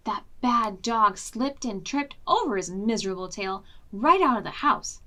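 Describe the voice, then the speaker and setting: A woman reading picture books aloud to young children as a storytime host, with expressive, deliberate phrasing and hushed dramatic asides. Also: mid-range voice. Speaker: children's librarian hosting preschool storytime.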